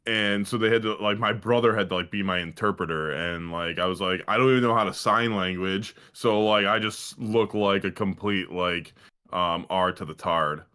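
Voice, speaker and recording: A man co-hosting a podcast, telling comic anecdotes in a halting, slangy way with heavy swearing. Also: sits low, pushed and bright, clear, resonant tone. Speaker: podcast co-host. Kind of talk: casual comic anecdote chat.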